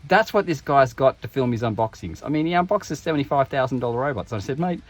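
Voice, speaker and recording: A man's voice: medium pitch, expressive, quick pace, faint music behind. A middle-aged man giving casual, rambling unboxing commentary, reading passages aloud and joking at his own expense. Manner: rambling, self-deprecating, reads passages aloud. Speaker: YouTube unboxing host.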